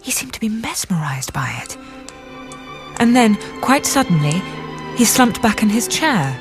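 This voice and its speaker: An actress playing an earnest, self-conscious young psychiatrist in love, speaking in an articulate, confiding voice in a radio drama. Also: female voice, mid-range voice, sing-song, a little slow. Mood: alarmed. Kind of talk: radio drama acting with intimate narration. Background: music.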